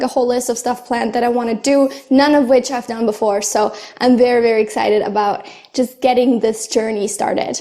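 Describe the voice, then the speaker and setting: A young woman shares her language-learning advice to camera. Her voice sits high, and she talks in an earnest, chatty way with easy fillers and small laughs. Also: darkish tone. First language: German. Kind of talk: casual talk to camera.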